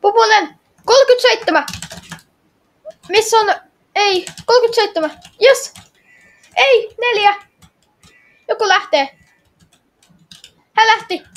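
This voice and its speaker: High voiced gamer woman, casual game chatter, gets excitable, says stuff over and over. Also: long pauses. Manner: excitable, repeats short phrases and exclamations. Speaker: gamer playing an online game with others.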